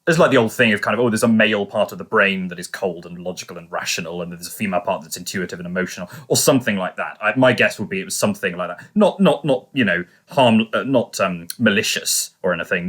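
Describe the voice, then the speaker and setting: A man's voice: low voice, animated, quick pace, fairly bright tone. A man hosting a casual review podcast, talking discursively and qualifying his points as he goes. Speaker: podcast host.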